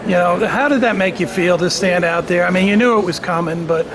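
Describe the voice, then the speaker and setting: A man's voice: high voice, fairly quick, crowd noise. A man with an American accent interviewing an athlete casually, with chatty, informal, rambling questions. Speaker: sports interviewer. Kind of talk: casual post-match interview. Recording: noisy venue, clear background noise. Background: ambient venue noise.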